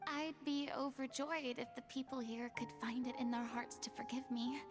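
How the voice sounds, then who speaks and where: A woman playing a remorseful, weary young former idol in a video game, speaking earnestly with a theatrical, hushed sadness. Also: sits high, fairly even intonation, moderate pace, over music, natural voice. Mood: wistful, apologetic.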